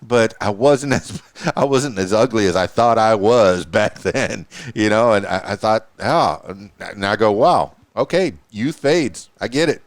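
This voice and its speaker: A man's voice: on the low side, measured pace, no echo. A man in his fifties hosting a podcast, rambling and stumbling over words as he chats. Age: 50s. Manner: rambling, stammers and repeats words. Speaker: podcast host. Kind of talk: casual interview chat.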